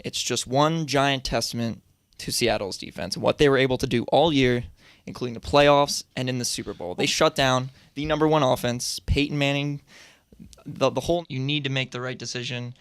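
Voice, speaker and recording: A man's voice: mid-range voice, steady tone, moderate pace, smooth, dry recording, clean studio sound. A man giving his take as a panelist on a roundtable sports radio show. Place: radio studio.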